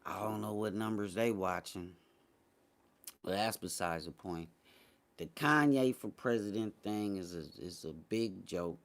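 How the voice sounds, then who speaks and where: Casting: American male livestream host, lowish voice, casual chat delivery, blunt and dismissive. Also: unhurried. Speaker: host of a morning talk show.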